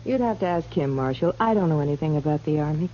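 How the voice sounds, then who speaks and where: A dull, slightly noisy vintage radio recording of a young saloon girl in a western. Her voice is rather low, coy and guarded. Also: animated, quick pace, mellow. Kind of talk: 1950s radio western acting.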